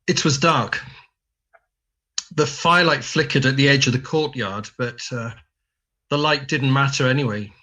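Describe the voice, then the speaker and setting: A man's voice: medium pitch, moderate pace, long pauses, pushed and bright. A man playing a shaken, despairing male disciple in a sombre dramatic monologue for a church service. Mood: bleak, shaken. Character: shaken, despairing disciple Peter after Jesus's arrest.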